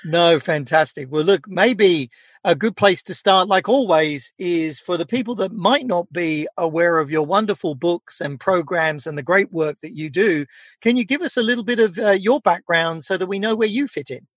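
Guy hosting a podcast, slightly high voice, very dry sound, affirming, long wordy questions. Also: moderate pace, no echo. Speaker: podcast host. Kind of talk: conversational interviewing.

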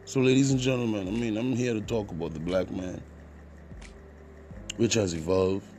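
Low, mellow Caribbean man podcasting. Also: fairly even intonation, moderate pace, slight background noise. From Trinidad and Tobago, partly Jamaica. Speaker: podcast host. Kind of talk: informal podcast monologue.

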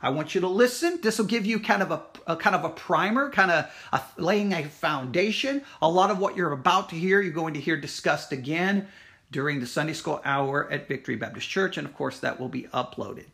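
A man's voice: on the high side, animated, a little fast, vocal fry, sharp tone. A man speaking informally as a church teacher, emphatic and insistent, often repeating his points. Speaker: Baptist church teacher and podcast host. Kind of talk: informal spoken announcement and church history teaching.

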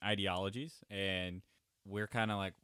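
A thirty-year-old man co-hosting a podcast in a small home studio, with a smooth, rather low voice. He talks in an easygoing way, joking and chuckling. The sound is dry and close. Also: fairly even intonation, moderate pace, pushed and bright, no room sound. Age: 30s. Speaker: podcast co-host. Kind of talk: casual podcast chat.

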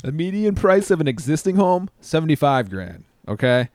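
Animated man chatting casually. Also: a little high, normal speed, no echo, studio quality. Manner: animated, jokey, casual swearing. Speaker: podcast co-host. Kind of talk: casual chat.

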